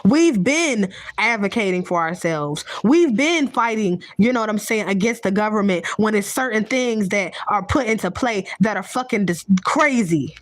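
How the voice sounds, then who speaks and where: A woman's voice: mid-range voice, animated, normal speed, few pauses, no echo. A woman giving a heated, profane, unscripted rant to her viewers, blunt and repetitive, often restarting her sentences. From United States. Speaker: vlogger.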